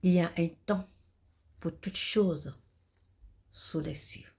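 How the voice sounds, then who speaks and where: A Cameroonian woman with a husky, gravelly voice delivers a religious monologue in solemn, declamatory, halting phrases, with a Cameroonian French accent. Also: lowish voice, darkish tone. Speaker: video host and self-described messenger of God.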